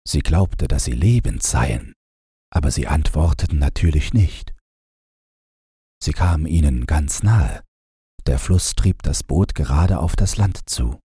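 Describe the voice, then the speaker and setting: A man narrating a bedtime fairy tale as an audiobook reader, calm and unhurried, with a low, husky voice. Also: no echo, clean studio sound. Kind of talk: scripted fairy-tale narration for falling asleep.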